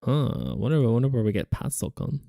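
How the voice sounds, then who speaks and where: A man's voice: medium pitch, big pitch swings, quick pace, gravelly, mellow, no echo, clean studio sound. A man learning a language on camera, repeating words after the audio and puzzling over grammar aloud in a casual way. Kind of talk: casual study vlog, thinking aloud.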